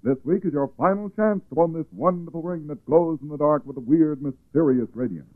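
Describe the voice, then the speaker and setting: A man with an American accent, announcing and pitching the sponsor in punchy, urgent 1940s radio style. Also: brisk. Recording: tinny 1940s radio recording.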